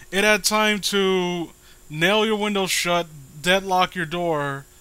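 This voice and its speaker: Bright-voiced man mockingly reading a horror story. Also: sits high, smooth. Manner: sarcastic, dramatic reading broken by asides. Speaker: host reading amateur horror stories.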